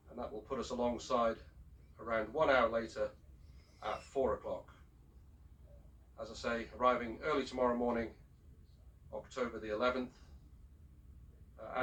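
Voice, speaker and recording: A man speaking as a ship's captain, giving a formal, measured and courteous announcement over a public address system. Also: medium pitch, fairly bright tone. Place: aboard a cruise ship, heard over the public address system. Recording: distant shipboard PA speaker, picked up from afar.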